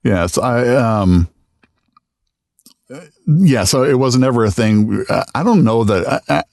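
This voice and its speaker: A middle-aged man, a radio voice talent, chatting casually in an interview, relaxed and self-deprecating, with many fillers. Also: mid-range voice, slow pace, vocal fry, no echo, studio quality. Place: podcast studio.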